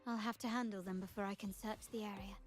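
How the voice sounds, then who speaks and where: A woman's voice: medium pitch, steady tone, quick pace, close to an everyday voice. An actress playing a poised, confident young noblewoman in a video game, composed in thought and theatrical in her battle cries. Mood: thoughtful. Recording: game audio with music behind.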